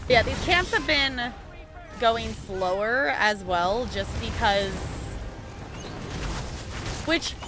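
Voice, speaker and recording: A woman's voice: a little high, animated, extremely slow, long pauses, over music. A woman streamer chatting casually while she plays, laughing often and talking to the game. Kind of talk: casual chat while gaming.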